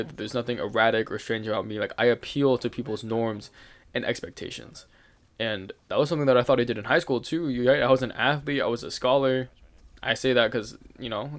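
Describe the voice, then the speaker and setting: Young male host, mid-pitched, candid storyteller, rambling and swearing. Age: young adult. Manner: laughs now and then while talking. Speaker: channel host. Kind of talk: casual storytelling rant.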